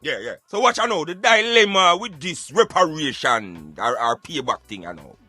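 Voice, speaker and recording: Jamaican man, high voice, dry close recording, emphatic streetwise radio banter, argumentative. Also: sing-song, moderate pace, bright, forceful tone, slightly rough, no room sound, soft background music. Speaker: radio show host. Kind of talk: animated opinionated radio banter in Patois.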